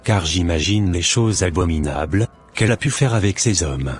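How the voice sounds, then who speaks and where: A brooding, wronged husband acted by a man in a homemade cartoon. He speaks very low, with grave, earnest sternness. Light music runs under the voice. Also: animated, moderate pace, husky, heavily acted. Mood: hurt, brooding. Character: betrayed, wounded but loving husband, stern and brooding.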